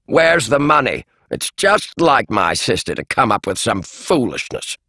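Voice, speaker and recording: A man's voice: mid-range voice, big pitch swings, measured pace, vocal fry, sharp tone, no echo, exaggerated voice. A man doing a whiny, indignant older aunt. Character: complaining older aunt, petulant. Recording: dry studio audiobook.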